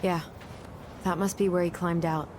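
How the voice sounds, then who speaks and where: Actress doing young american woman in a game drama, wry n sceptical, slightly low voice, noisy ambience behind. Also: flat delivery, normal speed, darkish tone, natural voice. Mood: questioning, dry. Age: young adult. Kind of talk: naturalistic video game drama acting.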